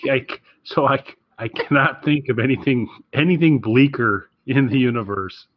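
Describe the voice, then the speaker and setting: A man's voice: mid-range voice, moderate pace, dry recording. A man co-hosting a podcast in casual chat, with a dry, joking manner. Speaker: podcast co-host. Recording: slightly dull, lo-fi close recording.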